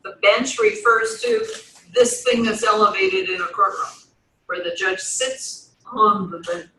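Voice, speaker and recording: A woman's voice: mid-range voice, steady tone, unhurried, pushed and bright. Woman lecturing in an echoey classroom. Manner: questions students in turn. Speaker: law instructor. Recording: echoey room, distant mic, faint noise.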